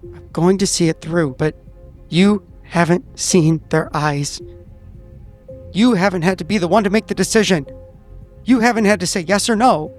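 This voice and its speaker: A woman's voice: fairly low pitch, a little mellow, lightly acted. Woman playing a shaken agent, music behind. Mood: haunted, defensive. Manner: halting, repeating, self-soothing. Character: shaken agent steadying themself, haunted by hard choices. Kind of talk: improvised in-character horror roleplay.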